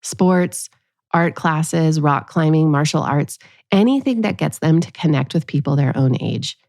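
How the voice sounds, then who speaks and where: A woman's voice: low-pitched, a little slow, creaky, darkish tone, dry recording, clean studio sound. A woman hosting a podcast as a pediatrician, delivering scripted narration addressed to parents.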